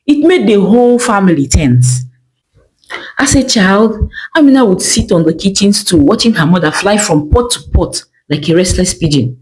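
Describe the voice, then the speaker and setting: A woman's voice: mid-range voice, animated, moderate pace, darkish tone, low-quality recording. A woman narrating a folktale as a storyteller, with dramatic, emphatic phrasing.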